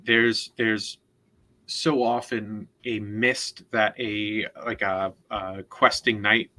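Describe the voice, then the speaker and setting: A man co-hosting a casual literary discussion, explaining things in a halting, deliberate way and often repeating words. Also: fairly low pitch, level tone, bright, forceful tone, poor audio. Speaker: co-host, literary commentator.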